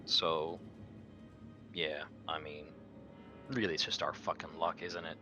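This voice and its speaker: Low-pitched man chatting over a game. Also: flat delivery, slow pace, over music. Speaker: tabletop game player. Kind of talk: casual game chat.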